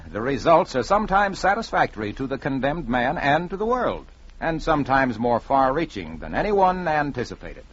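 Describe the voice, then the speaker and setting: A man narrating a scripted old-time radio story with measured, dramatic delivery on a vintage recording. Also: medium pitch, fairly bright tone, breathy edge, muffled recording.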